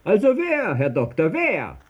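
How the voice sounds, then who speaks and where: An actor playing an insistent, provocative questioner who declaims and needles in a radio play. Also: male voice, sits high, wildly swooping pitch, unhurried, slightly rough, dull audio, heavily acted. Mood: needling. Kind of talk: German radio play acting.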